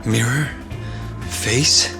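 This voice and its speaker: An actor playing a ruthless, imperious politician crime boss in a video game, arrogant and volatile. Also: male voice, mid-range voice, extremely slow, over music. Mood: shocked, desperate. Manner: imperious, profane. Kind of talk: English video game voice acting.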